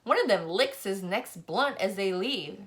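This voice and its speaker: A woman narrates a first-person story in a bright, forceful voice. Her reading is expressive and animated, with lively movement in pitch, in the manner of an audiobook. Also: a little slow. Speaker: audiobook reader.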